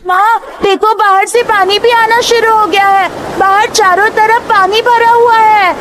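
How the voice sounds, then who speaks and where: A woman voicing an innocent, excitable young daughter in a cartoon moral story, speaking in an earnest childlike way. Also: very high-pitched, a little slow, crowd noise, background noise. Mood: worried, earnest. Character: innocent excitable little daughter of a poor family. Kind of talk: Hindi animated moral story dialogue. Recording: music behind the voice.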